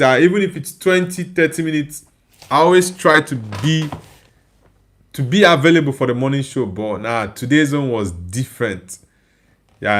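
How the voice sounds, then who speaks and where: A man's voice: medium pitch, fairly bright tone. A tired man with a Nigerian accent whose first language is Igbo, hosting a live stream and reading viewer comments aloud in casual chat. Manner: reads viewer comments aloud and answers them. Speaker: livestream host.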